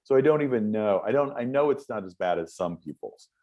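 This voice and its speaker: A man's voice: lowish voice, fairly quick. A middle-aged American man telling stories in an interview, rambling and restarting often. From United States. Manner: rambling, animated storytelling with many restarts. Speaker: interview guest. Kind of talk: casual interview chat.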